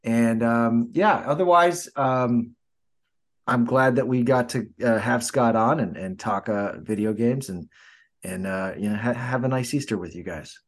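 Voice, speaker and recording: A man's voice: medium pitch, unhurried. A man co-hosting a podcast in casual chat, talking in a hesitant, rambling way. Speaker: podcast co-host.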